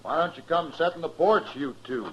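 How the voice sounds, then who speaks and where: An actor playing a proud, stern older cattle rancher with an American accent and a husky, gruff, deliberate voice, in 1950s radio western style. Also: male voice, a little high, fairly bright tone, dull audio, a little hiss or hum, natural voice.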